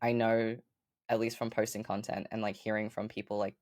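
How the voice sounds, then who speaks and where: A woman with a fairly deep voice, a podcast guest chatting casually and upbeat, with lots of ums and likes. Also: flat delivery, a little fast, darkish tone, dry recording, studio quality. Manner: chatty, upbeat, many fillers like um and like. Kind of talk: casual interview chat.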